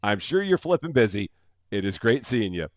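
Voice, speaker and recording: A man's voice: on the low side, sing-song, moderate pace, a little mellow, dry recording. A middle-aged man from the Chicago area with a general American accent, hosting a live gaming stream and greeting viewers by name in upbeat, casual chat full of fillers and restarts. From Chicago area, USA.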